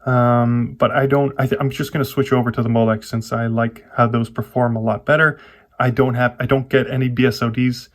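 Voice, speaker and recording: A man vlogger talking casually through a hands-on computer build, often pausing and rewording his explanations as he goes. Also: medium pitch, flat delivery. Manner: informal, halting, self-correcting explanations. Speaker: tech vlogger.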